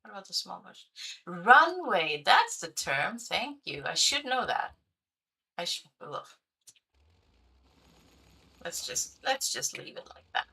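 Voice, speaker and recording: Slow, gravelly woman streamer. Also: on the low side, very animated, pushed and bright, rough recording. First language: Swedish. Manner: chatty, thinking aloud, hesitant with fillers. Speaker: game streamer. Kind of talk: casual livestream commentary while playing.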